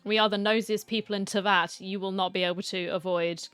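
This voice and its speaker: A woman's voice: medium pitch, steady tone, brisk, fairly bright tone, soft background music. A woman giving casual, playful reaction commentary as she plays through a game's story, chatty and cheeky. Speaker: gamer reacting to a story quest.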